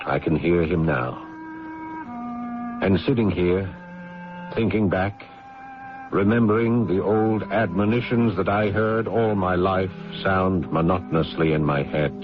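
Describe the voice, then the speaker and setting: An actor playing a protective, weary older brother, placating and rueful, in radio drama dialogue and narration. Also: male voice, sits low, measured pace, pauses often, over music. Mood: rueful, brooding. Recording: dull lo-fi old radio recording.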